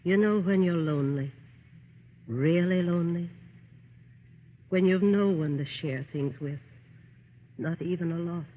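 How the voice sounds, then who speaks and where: An actress playing a gentle, consoling older mother in an old radio drama, with a soft, measured delivery. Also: fairly low pitch, phone-quality sound. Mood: tender consoling. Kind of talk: 1930s-40s radio drama acting. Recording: thin, noisy vintage radio recording.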